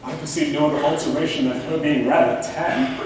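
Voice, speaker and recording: A man acting a proud, reserved, courteous young gentleman with formal delivery in an amateur stage play. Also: on the high side, fairly quick, lightly acted. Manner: formal, restrained. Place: theatre stage, recorded from a distance. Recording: echoey hall, distant mic, clear noise.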